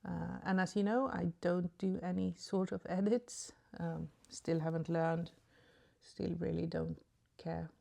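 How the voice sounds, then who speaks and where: Low, gravelly woman chatting makeup. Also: level tone, unhurried, mellow. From Sweden. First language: Swedish. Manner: rambling and chatty, many ums. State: sleepy in the early morning. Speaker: beauty vlogger. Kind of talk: casual makeup tutorial chat.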